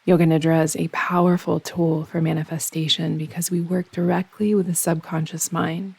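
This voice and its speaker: A woman guiding a yoga nidra meditation in slow, soothing instruction, with measured, unhurried phrasing and pauses. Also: on the low side, little pitch movement, creaky, a little mellow, breathy edge, dry recording.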